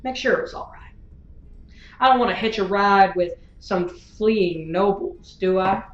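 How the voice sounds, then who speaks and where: Roomy muffled sound, actress as wry female gunslinger, needling, sharp-tongued, sci-fi audio drama. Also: medium pitch, measured pace, a little hiss or hum, natural voice. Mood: wry resignation. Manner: needling, wry, rhetorical questioning. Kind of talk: science fiction audio drama acting.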